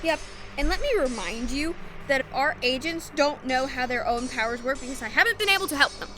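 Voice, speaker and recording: A woman's voice: high-pitched, lively intonation, a little fast, over music. A woman playing a sarcastic, exasperated companion in an amateur audio drama.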